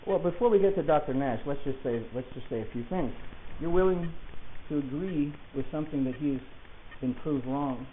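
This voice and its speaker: A man's voice: a little high, moderate pace, few pauses, natural voice. A man playing a stubborn, articulate defendant in an audio play, arguing his case insistently and pedantically. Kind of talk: argumentative dialogue in an audio play. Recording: tinny phone-like sound with hiss.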